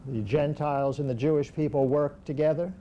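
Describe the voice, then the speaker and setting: A man interviewing for an oral history project, asking short, measured questions. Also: fairly high pitch, fairly even intonation, dark tone, muffled recording, a little hiss or hum. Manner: measured, brief prompting questions.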